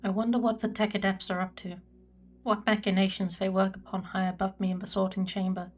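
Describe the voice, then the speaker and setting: A woman's voice: medium pitch, level tone, close to an everyday voice. A woman playing a reserved imperial records prefect, dictating a log in a formal, precise manner for a sci-fi audio drama. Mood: musing, formal. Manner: formal, measured, clinical.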